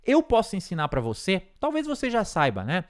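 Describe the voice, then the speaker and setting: A man's voice: sits high, huge pitch swings, fairly quick. A man with a Brazilian Portuguese accent teaching an English lesson, explaining step by step and checking in with frequent tag questions.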